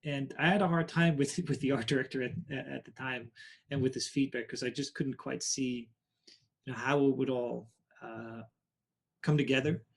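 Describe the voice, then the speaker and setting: A man with a Dutch accent answering interview questions thoughtfully over a video call, often trailing off quieter. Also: medium pitch, steady tone, moderate pace, creaky, slightly rough. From Netherlands. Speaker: guest artist.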